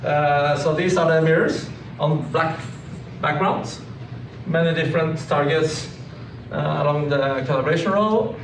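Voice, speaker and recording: A man giving an informal technical slide presentation, explaining in a halting way. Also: fairly high pitch. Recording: echoey room, distant mic, clearly noisy.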